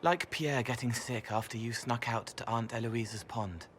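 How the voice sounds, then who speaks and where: An actor playing a gentle young male spirit who talks in soft, halting, dreamy fragments. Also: medium pitch, slight background noise, lightly acted.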